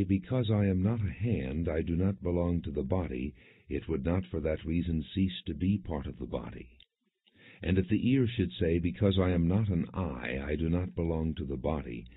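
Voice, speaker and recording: A man reading scripture as an audiobook narrator, in a measured, solemn, husky voice. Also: deep voice, dry recording. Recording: dry, narrow phone-like sound.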